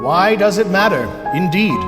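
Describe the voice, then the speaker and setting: Man playing high-voiced, lilting, wise spirit leader in a hall. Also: lively intonation. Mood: gentle, reflective. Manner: ceremonious, measured, tender. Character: gentle, wise male leader of night spirits. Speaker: actor in a staged reading of a musical. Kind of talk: theatrical stage acting. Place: large indoor high school auditorium. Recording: reverberant school auditorium with piano underscore. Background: music.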